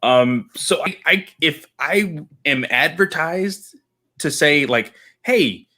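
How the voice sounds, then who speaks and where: A man's voice: a little high, expressive, slow pace, sharp tone. A man co-hosting a podcast, chatting in an easygoing, agreeable way. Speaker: podcast co-host. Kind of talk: casual podcast chat.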